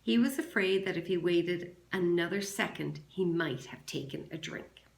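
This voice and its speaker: Woman teacher doing a read-aloud, kinda low creaky voice, roomy sound. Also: normal speed. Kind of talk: classroom read-aloud of a children's novel.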